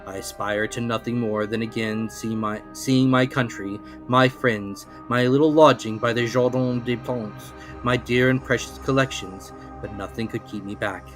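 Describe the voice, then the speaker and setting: A man's voice: medium pitch, steady tone, normal speed, few pauses. Man reading a classic adventure, over music. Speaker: narrator, reader.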